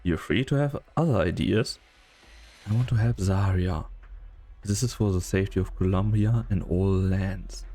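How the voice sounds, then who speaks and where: A man voicing a calm, perceptive doctor and strategist in an amateur fan dub, speaking in a calm, probing way. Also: deep voice, normal speed, soft background music.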